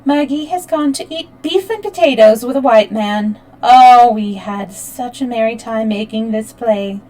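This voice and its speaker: A woman reading the lines of a bold, mischievous young actress, bright and teasing. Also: on the high side, a little slow, close to an everyday voice, poor audio. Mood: teasing, defiant. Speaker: audiobook reader. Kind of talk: read-aloud fiction dialogue.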